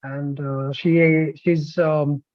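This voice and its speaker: A man's voice: fairly high pitch, little pitch movement, extremely slow, dry recording, lo-fi sound. A man presenting a course over a video call, talkative, with many fillers and restarts. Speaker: course counselor presenting online. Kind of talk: online sales presentation.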